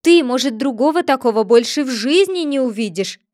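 A woman's voice: high voice, lively intonation, darkish tone, dry recording, studio quality. A woman voicing a pompous, touchy male egg figure who lectures and takes offense easily. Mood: boastful. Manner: haughty, lecturing, quick to take offense.

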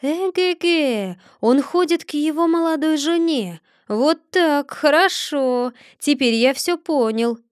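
Lady doing a grumbly stubborn cossack guy, muttering to himself, higher voice, dry sound. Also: unhurried, hardly any pauses, no echo. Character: grumbling middle-aged Cossack man, sly and stubborn.

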